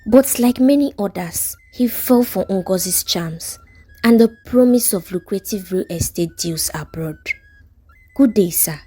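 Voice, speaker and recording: A woman's voice: mid-range voice, measured pace, warm tone, slightly rough, faint music behind. A woman with a Nigerian accent narrating a scripted folktale as a storyteller.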